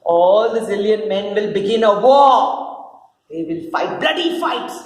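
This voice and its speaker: A mocking, jealous serpent acted out by a woman in a dramatic play reading. The delivery is slow and theatrical, with big swoops and repeated words. It sounds in a roomy, echoing space with some noise. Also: medium pitch, lively intonation, lightly acted. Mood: gloating. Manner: sneering, melodramatic, repeats words, drawn-out phrases. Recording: roomy echo with clear background noise.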